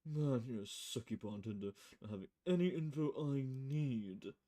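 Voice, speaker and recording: A woman's voice: rumbling low, normal speed, warm tone. A woman voicing an impatient, grumbling male private detective in a visual novel. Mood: exasperated. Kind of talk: visual novel character voice, film noir dialogue.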